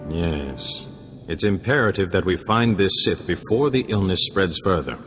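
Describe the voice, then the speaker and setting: A man playing a grave, formal Jedi master in a video game, speaking deliberately. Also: low voice, expressive, fairly bright tone. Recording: long reverb, music behind.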